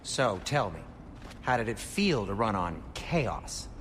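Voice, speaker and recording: Very animated male voice, fussy anxious dwarf, fretful, video game, noisy ambience behind. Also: fairly low pitch, slow, full character voice. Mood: suspicious, wry. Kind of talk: cinematic video game dialogue.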